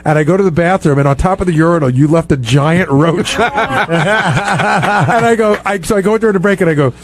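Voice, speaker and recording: Radio host, male, pitch a little above average, chatty and anecdotal. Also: brisk. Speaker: radio show host and staffer. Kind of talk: casual chat, animated anecdotes.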